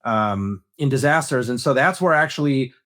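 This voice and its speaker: A man speaks as a guest expert. His delivery is measured and analytical, and his pitch sits in a middle range. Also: lively intonation, a bit bright. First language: Russian. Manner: measured, explanatory, uses "right?" as a check. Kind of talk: analytical interview talk.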